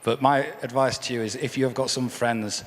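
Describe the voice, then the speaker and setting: Hoarse man giving a church testimony. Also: mid-range voice, fairly even intonation, brisk. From England. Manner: earnest, repeats words when restarting. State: hoarse, croaky voice. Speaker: guest speaker. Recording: echoey hall with faint ambience.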